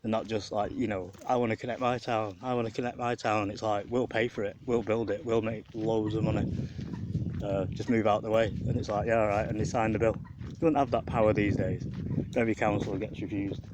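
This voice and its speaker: A man with an English accent and a faint Mancunian lilt narrates in a fairly low voice. He talks in a conversational, wandering way, like a walking history commentary. The outdoor recording is clearly noisy, with wind and birdsong behind him. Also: fairly even intonation, a little fast. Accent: English, with a slight Mancunian lilt. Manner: conversational, digressive, musing. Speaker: vlogger and documentary narrator. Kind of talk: casual rambling walking commentary on local history. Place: outdoors along a rural canal path. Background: wind, birdsong, outdoor noise.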